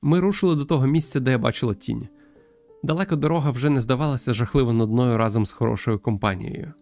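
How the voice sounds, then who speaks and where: A man's voice: medium pitch, quick pace, a little mellow, soft background music, natural voice. A man voicing a sensitive young girl who narrates her sad journey in a visual novel. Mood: narrating.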